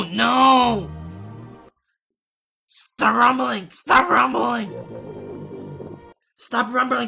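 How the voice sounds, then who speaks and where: Guy doing anguished young anime wolf, super gravelly n slow, halting, fan dub. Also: very high-pitched, sharp tone, full character voice. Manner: halting, emotional, exclaiming. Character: anguished young male wolf, starving, devoted to his friend.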